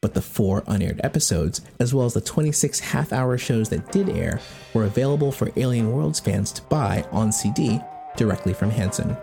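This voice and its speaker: A man's voice: fairly low pitch, lively intonation, moderate pace, creaky, mellow, faint music behind. An American man hosting a podcast, reading scripted narration. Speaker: podcast host.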